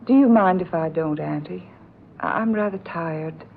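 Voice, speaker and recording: Casting: a troubled young fiancée, lowish voice, hesitant and urgent, vintage radio melodrama on a muffled recording. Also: female voice, moderate pace, creaky. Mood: tired, polite. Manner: hesitant, urgent, earnest delivery with repeated words. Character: frightened, distressed young fiancée, suspicious of her aunt. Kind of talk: old-time radio drama acting. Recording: dull, noisy old radio recording.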